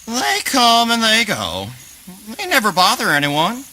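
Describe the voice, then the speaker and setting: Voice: mid-range voice, huge pitch swings, measured pace, bright, forceful tone, a little hiss or hum, heavily acted, rough recording. An actor playing an evasive, folksy backwoods river dweller in a spoken word play, wry and cryptic in a rustic way. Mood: cagey, dismissive. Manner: folksy rustic phrasing, cagey.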